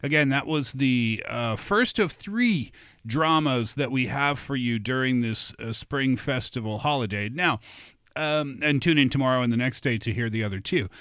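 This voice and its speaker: A man with a medium-pitched voice hosts a talk show. His delivery is casual and conversational. The sound is close and dry, as in a studio. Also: moderate pace, fairly bright tone, no echo.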